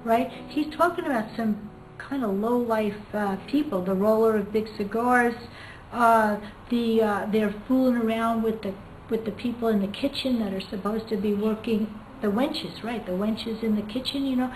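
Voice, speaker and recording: A woman English teacher reading and explaining literature informally, in a thoughtful way. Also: a little high. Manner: thoughtful, hesitant, pauses often to find words. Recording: echoey room with music behind.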